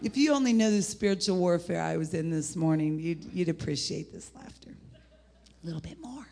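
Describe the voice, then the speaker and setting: A middle-aged woman with an American accent and a husky, gravelly voice, preaching an informal, rambling sermon in an animated, folksy way. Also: lowish voice, moderate pace. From United States (Indianapolis, Indiana). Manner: animated, rambling, folksy, breaking into laughter and exclamations. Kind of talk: informal sermon with personal testimony.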